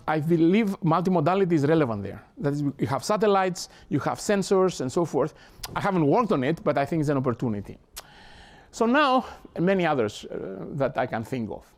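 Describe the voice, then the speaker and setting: Greek-accented professor, halting and earnest. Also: male voice, on the high side. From Greece. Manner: earnest, halting, with frequent restarts and repeated words. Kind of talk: academic talk. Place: lecture hall.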